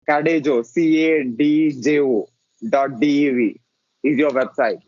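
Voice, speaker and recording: A man's voice: on the high side, unhurried, a little mellow, dry recording. A man hosting a casual interview podcast, talking hesitantly with many filler sounds and restarts. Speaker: podcast host. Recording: dull dry lo-fi audio.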